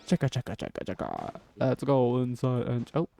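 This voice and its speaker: A man's voice: medium pitch, wildly swooping pitch, moderate pace, vocal fry, warm tone, slight background noise. A man doing casual gameplay commentary, murmuring exclamations and repeating his words as he reacts. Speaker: gameplay streamer.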